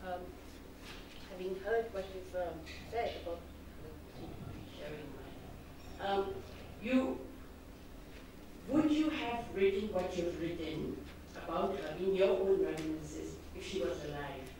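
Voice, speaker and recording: A woman's voice: on the low side, a little slow, long pauses, noisy background. A woman moderating a session, asking questions in a hesitant way with many fillers. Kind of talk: conversational questioning. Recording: echoey hall picked up from far off.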